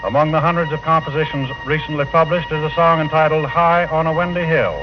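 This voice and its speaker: A man's voice: fairly high pitch, fairly even intonation, fast talker, over music. A man announcing formally from a script in the style of 1940s radio. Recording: muffled old 1940 radio broadcast.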